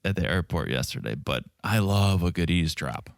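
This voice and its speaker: A man's voice: low-pitched, animated, fairly quick, vocal fry, no echo, clean studio sound. A man with a North American accent co-hosting a podcast, chatting casually with a playful, teasing manner. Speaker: podcast co-host. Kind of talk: casual banter and TV recap chat.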